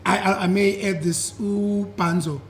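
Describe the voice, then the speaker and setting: A young South African man with a husky voice, chatting easily as an interview guest and mixing in isiZulu. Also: sits high, a little slow, a loud crowd. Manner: relaxed, switches between English and isiZulu, repeats "yeah". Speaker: guest, singer. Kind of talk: casual interview chat.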